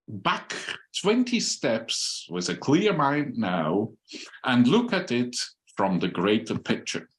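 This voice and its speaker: An older man with a Swiss accent speaks slowly and deliberately over a video call. His pitch rises and falls a great deal as he presents, and fillers and pauses come between his phrases. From Switzerland. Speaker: webinar speaker.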